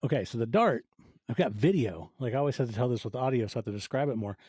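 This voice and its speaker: A man's voice: mid-range voice, animated, fast, warm tone, husky, dry recording, studio quality. A man in his forties speaking as a podcast guest, telling stories casually and acting out little quoted asides in conversation. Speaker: podcast guest, audio fiction producer. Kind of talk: casual interview storytelling.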